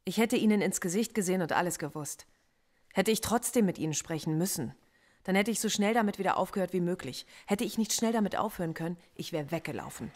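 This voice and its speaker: An actress playing a lonely, defiant philosophy professor under police interrogation in a radio play, speaking quietly and in measured phrases. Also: female voice, fairly low pitch, animated. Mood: guarded. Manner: quiet, measured, often hushed and introspective.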